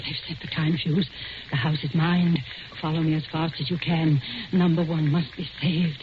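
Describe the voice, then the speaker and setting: A woman's voice: deep voice, level tone, normal speed, close to an everyday voice. Woman acting desperate lady crook, devoted, urgent breathless pleading, muffled noisy 40s radio melodrama. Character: desperate, devoted female member of criminal gang.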